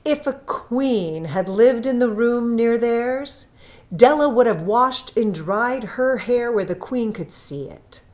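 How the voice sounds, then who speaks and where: A woman narrating a classic short story from a script, in a deliberate, expressive storytelling manner with pauses. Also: on the high side.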